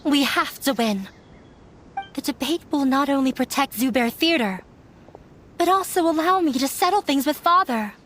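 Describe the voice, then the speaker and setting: A woman's voice: high voice, animated, moderate pace, a bit bright, slight background noise. A woman playing a proud, determined young woman in an anime-style video game, speaking firmly and earnestly. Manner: firm, earnest.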